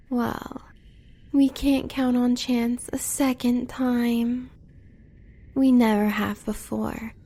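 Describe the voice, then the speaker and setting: An American woman playing a devoted, coolly bloodthirsty huntress in a romantic audio roleplay, breathy and often whispering. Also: on the high side, dark tone, full character voice. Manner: intimate, measured.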